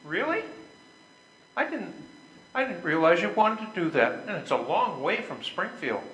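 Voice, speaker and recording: An older man playing an earnest, self-important but conciliatory husband in a readers theater stage play, speaking deliberately. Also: high voice, very animated, fairly bright tone. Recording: echoey room with clear background noise.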